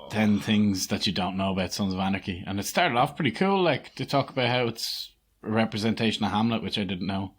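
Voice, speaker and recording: Husky young Irish man, blunt and sweary. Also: on the low side, expressive, brisk, few pauses. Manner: blunt and dismissive, swears a lot, trails off with "like". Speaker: podcast co-host. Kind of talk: casual chat.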